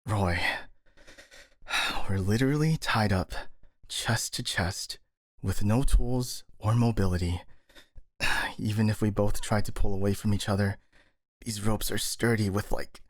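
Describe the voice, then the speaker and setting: Skeptical, flustered male ninja voiced by a man for ASMR audio drama; lowish pitch, sighing delivery, very dry close sound. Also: measured pace, long pauses, dry recording, lightly acted. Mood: skeptical, frustrated.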